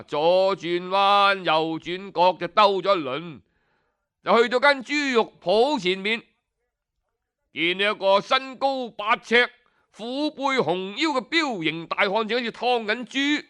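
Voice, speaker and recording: High, bright-voiced Cantonese male storyteller. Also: smooth. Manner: colloquial, dramatic, with interjections. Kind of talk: animated traditional storytelling narration.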